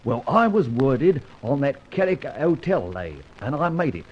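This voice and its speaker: A man's voice: medium pitch, big pitch swings, a little slow. An actor playing a male detective who poses as a boastful small-time crook, theatrical and wry in old-time radio detective style. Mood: boastful, cocky. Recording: muffled, hissy old radio recording.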